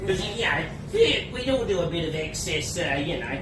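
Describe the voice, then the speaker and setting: A man's voice: on the high side, sing-song, normal speed. Australian male comedian, bawdy stand-up, off-mic, noisy echoing room. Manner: crude, laughs at his own jokes. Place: comedy club stage. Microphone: away from the mic, unamplified. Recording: echoey room, distant, noisy.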